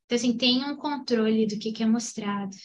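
Higher-voiced woman explaining a film. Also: fairly quick, slight background noise. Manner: thoughtful, explanatory, with hesitant restarts. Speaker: film podcast co-host. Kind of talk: casual podcast chat.

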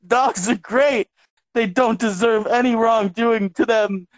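Slow-talking young man, giggly mock outrage. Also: very high voice, steady tone, poor audio. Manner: laughs often, protests in mock outrage. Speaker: podcast co-host. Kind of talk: casual podcast banter. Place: home bedroom.

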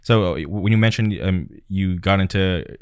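Low-voiced, smooth male podcast host, hesitant and full of ums. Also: moderate pace, no echo, studio quality. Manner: soft-spoken, hesitant, many ums. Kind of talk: casual podcast interview.

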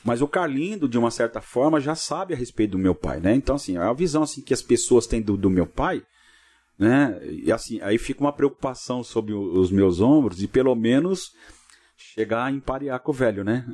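A middle-aged Brazilian man from São Paulo state talks as a podcast guest. His voice is soft-edged and relaxed in tone, yet the words come very quickly. He chats informally, dotting his stories with short tag questions. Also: medium pitch. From Cubatão, São Paulo coast, Brazil. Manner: peppers talk with tag questions. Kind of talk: informal interview storytelling.

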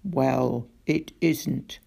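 A woman voicing a huge gentle monster that talks in a slow, deep, solemn voice. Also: vocal fry, breathy edge, heavily acted.

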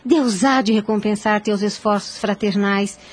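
A woman's voice: medium pitch, steady tone, a little slow, natural voice. An actress playing a devout, anxious young noblewoman in a period radio drama, with an earnest, formal, pleading delivery. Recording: music under the voice. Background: background music.

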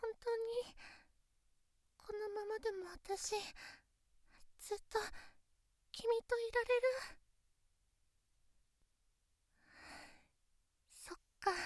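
A very high, girlish voice of a shy teenage girlfriend, acted by a young woman, talking slowly and softly as if lying next to the listener. The sound is close and completely dry, with no room around it. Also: no room sound. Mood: tender. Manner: soft, intimate, pillow-talk delivery. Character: shy, insecure teenage girlfriend, female classmate. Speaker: voice actress. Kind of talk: Japanese situation voice drama. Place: studio.

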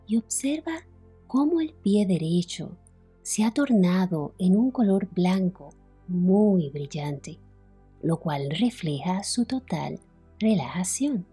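Woman, meditation guide, very slow pacing, soft husky voice, soothing delivery, long pauses. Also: fairly low pitch, lively intonation, faint music behind. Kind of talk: guided relaxation, yoga nidra narration.

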